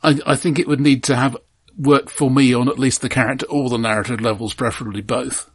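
Slightly husky man, measured and analytical. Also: medium pitch, steady tone. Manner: measured, analytical, halting repetitions. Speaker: film podcast co-host. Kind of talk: casual film discussion.

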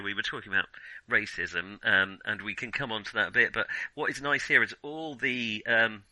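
A middle-aged man in a casual unscripted film discussion as a podcast co-host, often halting and correcting himself mid-thought. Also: fairly low pitch, sharp tone, dry recording, low-quality recording. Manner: halting, self-correcting, trailing restarts.

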